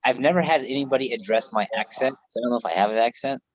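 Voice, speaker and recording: A man's voice: mid-range voice, racing. Laid-back American man, lo-fi call. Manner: laid-back, swears freely, laughs often. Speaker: host. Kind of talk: casual chat on a live video call. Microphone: video call.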